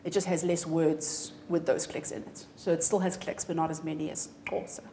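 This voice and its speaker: A woman's voice: low-pitched, flat delivery, moderate pace, dark tone. A woman in her thirties from South Africa with a South African accent, chatting unhurriedly as an interview guest. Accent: South African English. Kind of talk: casual interview chat. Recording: echoey room, faint ambient noise.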